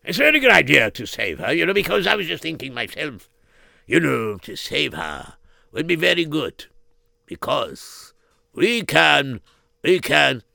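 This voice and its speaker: A pompous middle-aged king who talks in a stately way yet keeps rambling and hesitating, as a man puts him on. The voice is gravelly and bright with lively pitch, in a dry, close sound. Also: unhurried, dry recording, heavily acted. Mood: musing.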